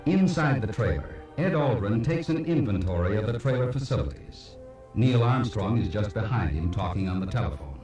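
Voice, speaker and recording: A man reads scripted documentary narration for a slide show in a measured, formal, solemn way, played back from a cassette. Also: mid-range voice, a little mellow. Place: played from a cassette, heard in a room. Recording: echoey, distant playback of cassette tape. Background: music.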